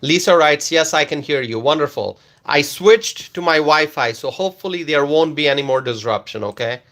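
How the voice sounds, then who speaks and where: A man from Bangladesh hosting a casual livestream, reading viewer comments aloud in a slow, halting way with many ums and uhs. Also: fairly high pitch, lively intonation, a bit bright. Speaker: livestream host.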